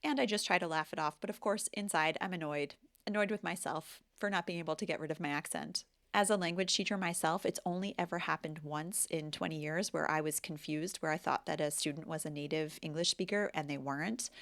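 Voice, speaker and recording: Gravelly American woman in her forties. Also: low voice, a little fast, hardly any pauses, a bit bright. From United States. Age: 40s. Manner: candid, self-deprecating, chatty. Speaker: language teacher and vlogger. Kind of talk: casual reflective vlog talk.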